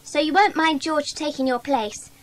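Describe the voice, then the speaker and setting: A woman's voice: sits high, normal speed, close to an everyday voice. A woman playing a kindly, generous child club member in a dramatised children's audiobook, earnest and coaxing. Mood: solemn, kindly. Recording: faint background noise.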